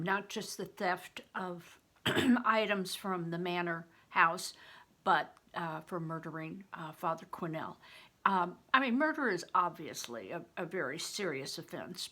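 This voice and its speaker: Bright-voiced older woman reading, very varied pitch. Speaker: grandmother reading a novel aloud. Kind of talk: storybook narration with explanatory asides.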